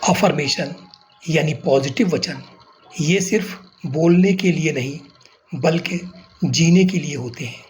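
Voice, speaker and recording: An Indian man narrating a guided meditation in short, measured phrases with pauses between them. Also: fairly high pitch, slight background noise.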